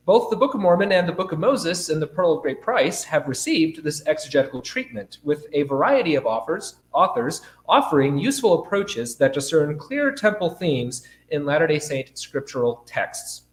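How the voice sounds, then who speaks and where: A man's voice: on the high side, sing-song. A young man with a cold, formally reading a scholarly paper aloud as a conference speaker. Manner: formal, measured delivery. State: fighting a cold and cough. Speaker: conference speaker, academic presenter. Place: indoor conference room. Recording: roomy, echoing lecture space.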